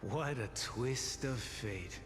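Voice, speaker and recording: An actor playing a calm, gentle old sage who mentors a former pupil, speaking in a measured, solemn way. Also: male voice, medium pitch. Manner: measured, solemn, blessing-like speech. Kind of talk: video game voice acting. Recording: roomy hall reverb with game music.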